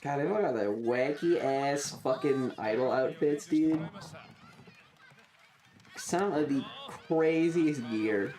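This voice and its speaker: A man streaming casual live reaction commentary, talking in an excitable, slangy and profane way. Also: fairly high pitch, slow.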